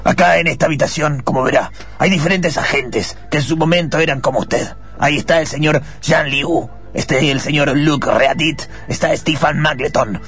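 A man voicing a sinister old laboratory boss in a radio drama, slow, formal and hushed, with a cough. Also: a little high, faint music behind. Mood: cold menace.

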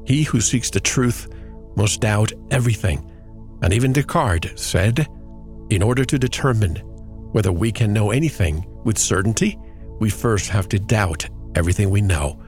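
Male radio host, lower voice, measured and earnest, scripted intros into easy interview questions. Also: darkish tone.

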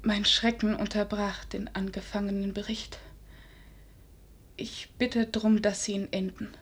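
Sad young princess holding it together, husky breathy, pretty flat pitch, old radio drama. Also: female voice, unhurried. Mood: grieving, composed. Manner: restrained, solemn, formal. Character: grief-stricken young princess forcing herself to stay composed. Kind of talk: 1950s German radio drama acting.

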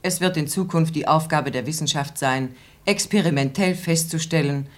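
A cool woman announcer played by an actress recites facts in a radio drama. Her voice is very low for a woman. She stays clinical and matter-of-fact, reading in formal sentences. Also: normal speed, lightly acted. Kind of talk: scripted radio play narration.

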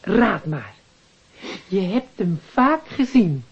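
An actress playing a chatty, excitable and naive office cleaning lady in a radio play. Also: mid-range voice, slow pace, breathy edge, exaggerated voice. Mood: coy, flattered. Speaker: actor. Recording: muffled radio play recording.

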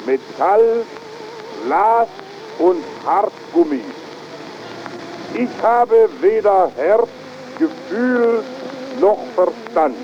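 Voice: mid-range voice, lo-fi sound. An actor playing a vain gramophone that addresses its audience in a stately, self-important declamation on a scratchy early record. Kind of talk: early 1900s promotional record recitation.

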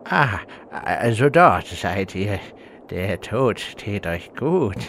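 A man's voice: on the low side, big pitch swings, very slow, a bit bright, slight background noise, full character voice. A man playing a pompous undead villain in a parody fantasy audio drama, with theatrical, old-fashioned formal speech. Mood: smug, fawning. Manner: theatrical, archaic formal address. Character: pompous undead male villain, half-blind doddering minion of a dark master.

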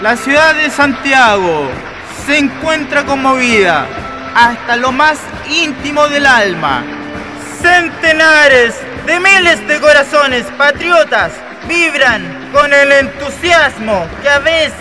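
A man as bombastic mayor, very high, slow. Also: expressive, music in the background, full character voice, poor audio. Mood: fervent, grandiose. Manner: declamatory, bombastic. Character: emotional city mayor, grandiose patriotic orator. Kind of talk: political speech in radio drama.

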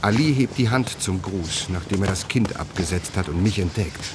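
An actor playing a reverent reporter, giving lyrical scripted narration in a radio drama. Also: male voice, sits low, animated, moderate pace, noisy background. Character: reverent male reporter recounting a scene, lyrical.

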